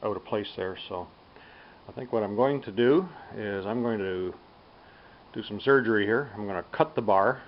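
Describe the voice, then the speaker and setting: A man giving a casual, unscripted workshop demonstration, thinking aloud in a halting, unhurried way. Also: fairly low pitch. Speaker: DIY bicycle tinkerer presenting a build. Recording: dull lo-fi sound with background noise.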